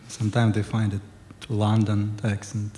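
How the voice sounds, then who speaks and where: Man, low flat mellow voice, terse answers, faint room ambience. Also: unhurried, long reverb tail. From Belarus.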